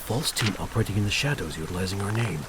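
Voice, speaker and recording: A man's voice: on the low side, animated, very fast, close to an everyday voice. A man playing a world-weary, exasperated detective with a dry, sardonic manner in a noir-parody audio drama. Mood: pensive. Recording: loud rain and thunder noise.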